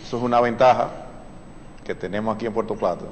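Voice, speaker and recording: A man, a surgeon on a TV talk show, explaining patiently with many fillers and the odd clearing of his throat. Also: on the low side, fast talker, in a large hall, a little hiss or hum, rough recording. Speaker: surgeon guest. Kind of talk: explanatory TV interview.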